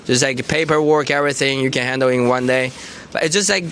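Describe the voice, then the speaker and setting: A man from China with a Chinese accent, chatting easily on a street livestream in halting second-language English with frequent restarts. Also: mid-range voice, a bit bright, slight background noise. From Taizhou, Zhejiang, China. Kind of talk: casual street livestream chat. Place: outdoors on a busy city street. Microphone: phone.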